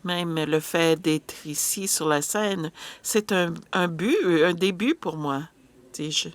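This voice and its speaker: A woman's voice: lowish voice, slow, dry recording. A woman interpreting a panel discussion live, hesitant, with frequent filler sounds and restarts.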